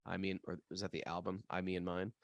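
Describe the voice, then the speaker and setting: A man co-hosting a relaxed spiritual discussion, thinking aloud in a musing way with frequent restarts. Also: sits low, fast talker, gravelly, no echo. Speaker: podcast co-host. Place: home area in New England.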